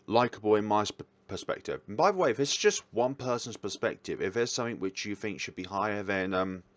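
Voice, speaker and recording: A man's voice: fairly low pitch, animated, a little fast, no echo. A man giving casual, informal commentary as a reviewer, talking in a rambling way. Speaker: visual novel reviewer.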